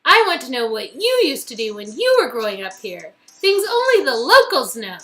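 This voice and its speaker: An eager, teasing young woman from a romance game, played by a woman. Her high voice is bright and swings widely, perky and full of curiosity. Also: huge pitch swings, normal speed, exaggerated voice. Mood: curious. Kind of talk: visual-novel dialogue reading.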